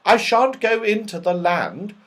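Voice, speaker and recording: A man's voice: high voice, big pitch swings, unhurried, voice pushed up. A man voicing an eager, adventurous young boy in a children's storybook, full of exclamation. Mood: confident.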